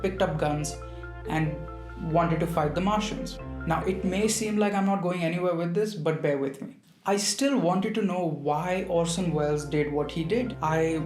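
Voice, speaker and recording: A man hosting a video essay, narrating in a conversational storytelling way and addressing the viewer directly. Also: on the high side. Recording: roomy voice with background music.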